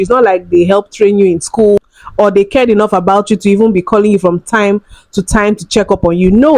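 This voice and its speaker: A woman hosts a reaction video and comments frankly on what she hears, stressing her points. Her voice sits at an ordinary pitch. The recording is close and dry. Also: a little mellow, dry recording. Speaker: reaction channel host.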